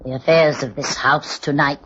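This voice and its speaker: An actress playing a grim, ominous innkeeping woman of the early 1800s, stern and measured with archaic wording, in a radio drama. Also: low voice, steady tone, fairly bright tone. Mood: ominous warning. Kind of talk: old-time radio drama acting. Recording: dull old radio recording, faint noise.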